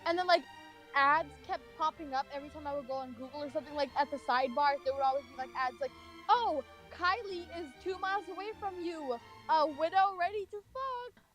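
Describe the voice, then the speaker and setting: High-voiced young American woman telling stories. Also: fairly quick, a bit bright, faint music behind. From California, United States. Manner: rambling, heavy use of filler "like". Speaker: storyteller. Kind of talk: casual chatty personal storytelling.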